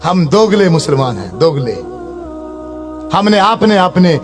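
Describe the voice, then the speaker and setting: High-pitched middle-aged man preaching. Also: very animated, slow pace, over music. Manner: exhorting, repetitive, rhetorical. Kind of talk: impassioned sermon with recited prayers.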